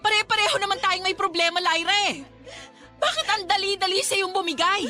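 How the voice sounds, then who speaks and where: An exasperated, pleading, high-voiced friend. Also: female voice, a little fast, sharp tone, soft background music. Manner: pleading, scolding, emotionally intense. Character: exhausted, exasperated woman caring for a troubled friend. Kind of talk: Tagalog radio drama acting.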